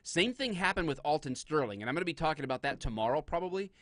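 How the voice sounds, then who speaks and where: A man co-hosting a live-streamed talk show, talking in an opinionated, animated way. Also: a little high, quick pace, no room sound. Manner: animated, opinionated, mimics clips. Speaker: podcast co-host. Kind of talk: casual live-stream chat.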